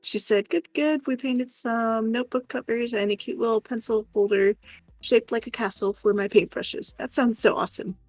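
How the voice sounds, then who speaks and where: A woman's voice: a little high, fairly even intonation, moderate pace, no echo. A woman co-hosting a stream in casual chat, affectionate and encouraging as she talks. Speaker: stream co-host helping with the chat.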